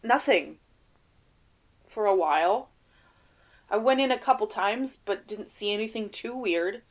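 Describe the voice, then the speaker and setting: A woman playing a weary, defensive field agent in an audio drama interview, speaking haltingly and casually. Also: medium pitch, muffled recording, lightly acted. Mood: uneasy, hesitant.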